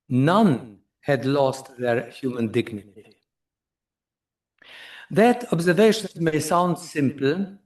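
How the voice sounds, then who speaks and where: A man giving a formal prepared speech at a conference in a measured, solemn way. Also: medium pitch. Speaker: keynote speaker. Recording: distant mic in a conference room.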